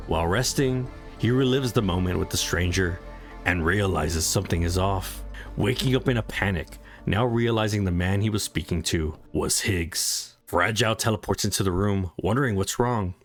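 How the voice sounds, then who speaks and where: A man reading scripted story recap narration. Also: deep voice.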